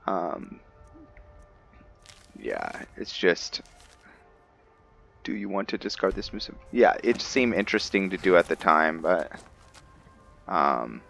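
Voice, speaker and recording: A man's voice: sits low, big pitch swings, slow pace, vocal fry, long pauses, a bit bright. A man giving casual gameplay commentary, thinking aloud hesitantly and chatting to his viewers. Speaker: host of a gameplay roleplay series. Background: background music.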